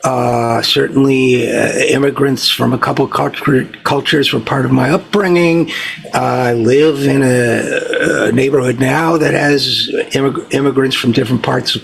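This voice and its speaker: A man's voice: medium pitch, steady tone, a little slow, vocal fry. A man with an American accent hosting a talk interview in a conversational, discursive way, with hesitant asides. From United States, Utica, New York. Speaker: talk show host. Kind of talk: conversational interview with long setup question.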